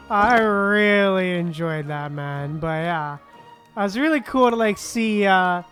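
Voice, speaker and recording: A woman giving casual, easygoing, giggly gameplay commentary as a streamer. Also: medium pitch. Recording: game music behind.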